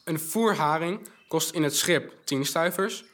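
An actor playing a bright, polite young orphan messenger boy who eagerly passes on news in an audio drama. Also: on the high side, a little slow. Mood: informative, keen. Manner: polite, informative, recites news in detail.

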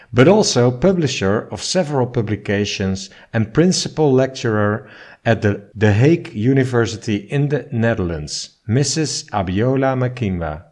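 A man's voice: medium pitch, darkish tone. A man hosting a podcast, introducing a guest and asking questions in a formal, polite manner. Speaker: podcast host.

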